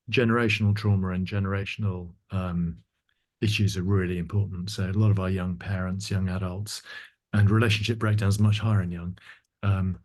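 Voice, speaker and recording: A man's voice: lowish voice, a little mellow. A man sharing in a church group discussion, reflective and rambling.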